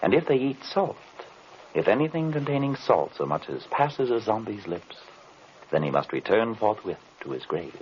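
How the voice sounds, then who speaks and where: Through a muffled, faintly noisy vintage radio recording comes an enigmatic occult investigator. His delivery is formal and deliberate, with a swooping, animated pitch and brooding courtesy. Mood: ominous, musing. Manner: formal, deliberate, theatrical, rhetorical questions. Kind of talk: old-time radio drama acting.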